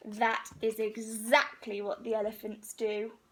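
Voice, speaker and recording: Female storybook reader for young children: slow, animated delivery with a breathy edge and deliberate pauses. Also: a little high, rough recording. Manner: unhurried, animated, pauses between phrases for young listeners. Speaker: teacher reading a picture book to young children. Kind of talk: expressive children's storybook read-aloud.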